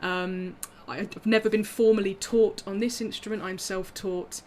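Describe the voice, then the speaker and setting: A woman's voice: mid-range voice, fairly even intonation, moderate pace, a little mellow. A woman musician talking casually through her instruments on a video call, chatty and self-deprecating with frequent ums and little laughs.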